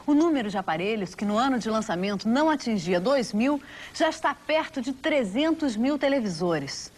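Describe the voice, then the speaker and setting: A woman's voice: a little high, animated, moderate pace. A woman with a Brazilian accent reading scripted documentary narration.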